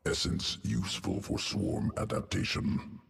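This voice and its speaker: Super deep gravelly alien bug scientist, slow clipped clinical phrases, game dialogue w music behind. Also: male voice, fairly even intonation, exaggerated voice. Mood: cold, clinical. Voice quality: deep guttural gravelly croak. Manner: clipped telegraphic phrases, detached and methodical. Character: alien insectoid geneticist creature, cold, clinical, obsessive. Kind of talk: video game character dialogue.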